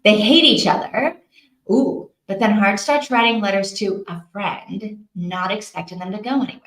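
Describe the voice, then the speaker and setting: Woman streamer just chatting w viewers, thinking out loud, expressive, medium pitch, roomy echo. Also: normal speed. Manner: chatty, expressive, thinking aloud, reacting with interest. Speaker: host of a live reading-sprint stream. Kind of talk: casual livestream chat with viewers. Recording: roomy, echoey sound.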